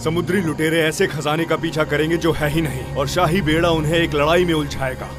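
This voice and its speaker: A man's voice: on the high side, fairly even intonation, a little fast, music in the background, natural voice. A man voicing a scheming, power-hungry villain in a dubbed cartoon, with a grandiose, plotting way of talking. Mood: gloating. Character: scheming, power-hungry male villain raising a zombie army.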